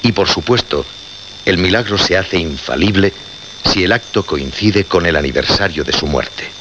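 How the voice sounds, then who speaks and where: A solemn presenter of an occult radio show, with a deep voice and Castilian accent. He insists on every phrase with deliberate weight. The old radio drama recording is muffled and noisy. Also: animated. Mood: solemn, mysterious. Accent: Castilian Spanish.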